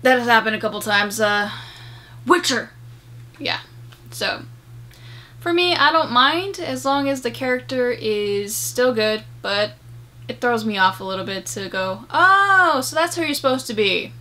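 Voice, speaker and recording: Young female vlogger, conversational and emphatic, higher-pitched bright voice, casual review delivery. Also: animated, measured pace. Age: young adult. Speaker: video host and game reviewer. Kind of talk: casual movie review to camera. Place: small room at home, indoors.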